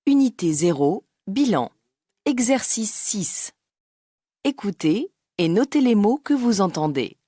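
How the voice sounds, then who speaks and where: A woman reading textbook exercise instructions in a slow, articulate way. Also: medium pitch, very animated, warm tone, husky, no echo, clean studio sound. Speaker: narrator of exercises.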